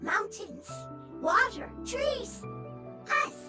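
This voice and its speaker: A woman voicing a small, innocent fungus creature in a video game, speaking in halting, broken phrases. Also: very high-pitched, big pitch swings, pushed and bright, soft background music, heavily acted. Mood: hopeful, simple. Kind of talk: video game character acting.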